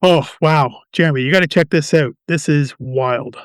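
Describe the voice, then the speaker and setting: A man plays a stressed quality assurance manager in an office radio drama. His voice sits on the high side, with a defensive, wry and touchy manner. The recording is dry. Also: normal speed, clean tone, dry recording, natural voice. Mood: amazed. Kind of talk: amateur radio play dialogue.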